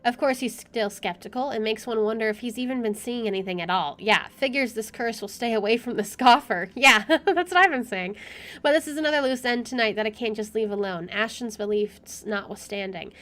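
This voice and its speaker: A woman with a slightly high voice plays a visual novel for viewers. She reads the story aloud expressively and has an opinionated, casual streak. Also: fairly quick, fairly bright tone. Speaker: gamer playing and reacting to a visual novel. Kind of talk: reading game narration aloud with casual commentary.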